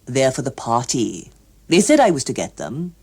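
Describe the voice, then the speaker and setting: A woman's voice: rumbling low, big pitch swings, normal speed, darkish tone, slightly rough, voice pushed down, heavily acted. A woman voicing a cheery, pedantic middle-aged male office worker in an audiobook, explaining emphatically with small hesitations. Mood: chatty, hesitant. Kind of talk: audiobook character voice.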